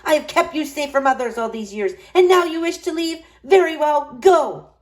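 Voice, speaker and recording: A woman voicing a possessive, menacing witch in a storybook tale, speaking in a deliberate, commanding way. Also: high-pitched, voice pushed up, natural voice. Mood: angry.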